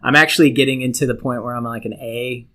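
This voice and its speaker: Bright, forceful-voiced man bantering crudely. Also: medium pitch, fairly even intonation, quick pace. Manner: crude, profane joking. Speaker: podcast co-host. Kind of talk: casual comedic banter.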